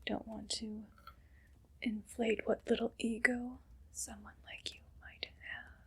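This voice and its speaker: A woman playing a haughty, condescending evil queen in an ASMR roleplay, speaking in a breathy, deliberate whisper. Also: a little high, flat delivery, bright, forceful tone, full character voice. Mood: grudgingly indulgent. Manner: slow, deliberate, imperious.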